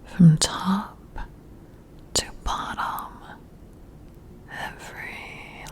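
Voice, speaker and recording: A woman whispering up close in an ASMR roleplay as a flirty, intrigued boss, breathy and intimate with drawn-out pauses. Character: flirty, intrigued female boss giving a job interview. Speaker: ASMR performer role-playing a flirty new boss. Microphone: close-up ASMR microphone.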